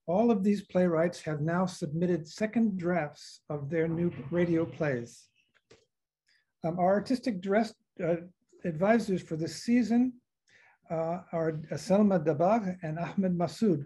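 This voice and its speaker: A man in his sixties hosts an event over a video call from his office. His voice is high, soft-edged and husky. He reads formal opening remarks and often halts to find the next word. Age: 60s. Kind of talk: formal introductory remarks read from notes. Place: office with bookshelves, indoors. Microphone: video call computer microphone.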